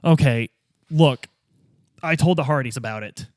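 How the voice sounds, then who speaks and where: A man's voice: medium pitch, normal speed, dry recording, clean studio sound, lightly acted. A man playing a dogged, exasperated police detective in a tabletop game, blunt, insistent and informal.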